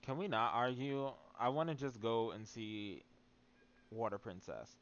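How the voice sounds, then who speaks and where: A man's voice: mid-range voice, unhurried, lo-fi sound, background noise. A man doing casual, rambling and playful live-stream commentary while he plays a video game. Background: faint game music.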